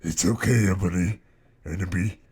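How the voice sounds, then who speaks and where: A man voicing a brooding gothic vampire boy with a low, muttering manner. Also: fairly even intonation, moderate pace, darkish tone, husky. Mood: soothing.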